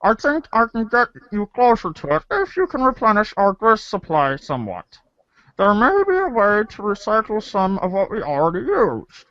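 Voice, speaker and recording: A man puts on a composed, verbose schoolgirl villain for a comic storybook. The voice is high and swings widely in pitch, yet stays formal. It is recorded dry and close. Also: wildly swooping pitch, moderate pace, sharp tone, no room sound, muffled recording, exaggerated voice. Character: composed, wordy teenage girl done as masked supervillain. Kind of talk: comic read-along storybook impression.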